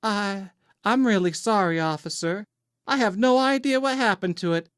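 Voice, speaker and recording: A man's voice: high-pitched, moderate pace, clear, resonant tone, no echo, clean studio sound, voice pushed up, natural voice. A man voicing a flustered young woman who puts on a sweet, coy, pleading Southern accent for an audiobook story. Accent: affected Southern US.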